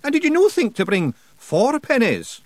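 A man's voice: extremely high pitch, huge pitch swings, moderate pace, no echo, pitched above the performer's own voice, full character voice. A man voicing a brash, cunning little fairy warrior in a storybook, with a Scottish accent and a rowdy, cheeky manner. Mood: brash, sly.

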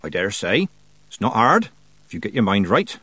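Storybook role: prickly old country witch woman, played by a man, brusque and stern, raspy growl, very animated delivery. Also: on the low side, normal speed, dry recording, exaggerated voice. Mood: snappish. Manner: brusque, folksy rural turns of phrase. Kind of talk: audiobook character voice.

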